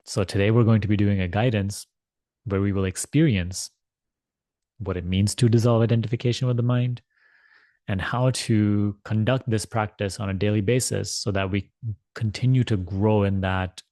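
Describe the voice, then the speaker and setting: A man teaching a guided meditation, speaking in a deliberate, measured manner with long pauses and repeated phrases. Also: fairly low pitch, fairly even intonation, a little mellow, no echo, clean studio sound. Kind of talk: guided meditation instruction, spiritual talk.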